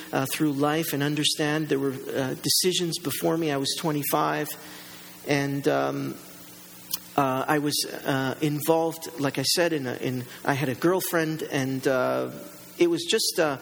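A middle-aged man whose first language is Italian, giving a personal testimony as a guest in a Q&A, halting and full of fillers. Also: mid-range voice, creaky, a bit bright, big-room sound, slight background noise, poor audio. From born in Germany to an Italian family, raised in Italy and Canada. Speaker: interview guest.

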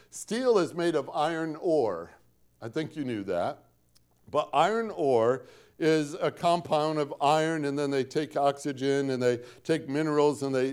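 A male preacher with a Northwest Indiana accent delivers his sermon like a chat with the congregation. He tells stories in a folksy way, slips in dry jokes at his own expense, and drives home key points by repeating them. His voice sits at an ordinary middle pitch for a man. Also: a little mellow. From Northwest Indiana, USA. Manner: conversational, folksy storytelling with dry self-deprecating asides; emphatic repetition of key points.

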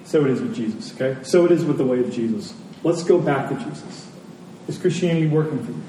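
A man preaching a sermon as a pastor, earnest and emphatic, pausing and repeating phrases for weight. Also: expressive, mellow. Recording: echoey hall, distant mic, faint rumbling noise.

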